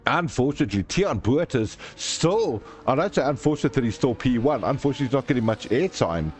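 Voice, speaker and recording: A man's voice: mid-range voice, big pitch swings, fast talker. A man hosting a live sim racing stream, calling the race and joking with viewers in the chat. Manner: jokey, chatty, reads viewer comments aloud.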